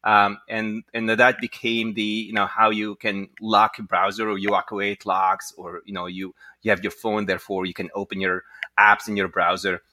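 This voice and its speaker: A man with a low-pitched, bright voice talks as an interview guest. He explains his work conversationally, circling through halting asides. The sound is very dry. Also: little pitch movement, no room sound. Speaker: guest, tech entrepreneur and inventor.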